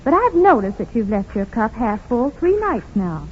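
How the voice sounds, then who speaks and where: A woman playing a sweet, concerned, gently reproachful newlywed wife in a 1930s radio advertisement. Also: on the high side, very animated, moderate pace. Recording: scratchy dull 1930s radio recording.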